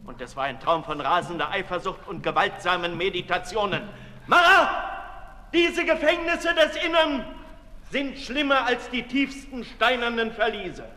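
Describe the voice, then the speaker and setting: A man playing a brooding, sensual libertine aristocrat in a 1960s German radio drama, declamatory, moving between hushed and loud. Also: on the high side. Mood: impassioned, agitated. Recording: reverberant 1960s radio recording, faint noise.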